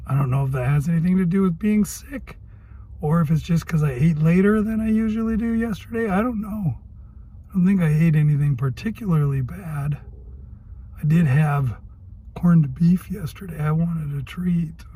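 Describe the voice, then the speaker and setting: A man with a cold and a hoarse voice, rambling through a casual video diary and thinking aloud as he goes. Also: a little high, dark tone. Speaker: health vlogger.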